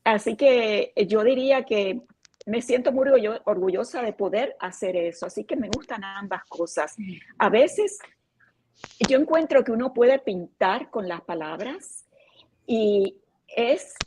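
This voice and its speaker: A woman's voice: a little high, lively intonation, unhurried, darkish tone, slight background noise, rough recording. A woman, an author and illustrator whose first language is Spanish, speaking expressively on a panel over a video call. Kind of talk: casual panel chat.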